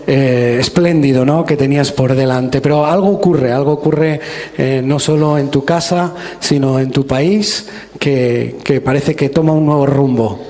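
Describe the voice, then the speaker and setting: A man hosting a church interview who asks gentle, encouraging questions and sums up what his guest has said. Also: mid-range voice, steady tone, measured pace.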